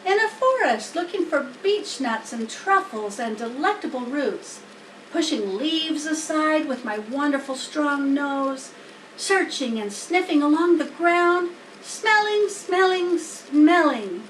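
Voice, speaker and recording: Young male storybook pig, played by a woman: naive, dreamy, earnest, high voice, recorded in a roomy space. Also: animated, slow pace. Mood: longing. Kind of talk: children's storybook character reading. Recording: roomy, distant mic, faint noise.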